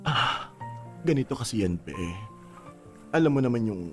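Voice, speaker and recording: An effeminate Filipino father in his fifties as a male actor plays him in a radio drama. The voice is campy and emotional, swinging widely in pitch, with music underneath. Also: lively intonation, normal speed, natural voice. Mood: hesitant, confessing. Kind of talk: conversational radio drama acting. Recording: music bed under the dialogue.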